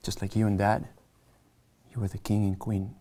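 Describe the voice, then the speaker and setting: A man's voice: lowish voice, flat delivery, normal speed, dark tone. An actor playing an insecure, self-deprecating middle-aged man at a table read of a screenplay. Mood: confessional. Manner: earnest, hesitant, self-mocking.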